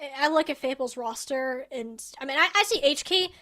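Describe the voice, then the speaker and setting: A woman's voice: high-pitched, expressive, a little fast, sharp tone. A woman giving live esports commentary and casual game analysis, opinionated and colloquial in how she talks.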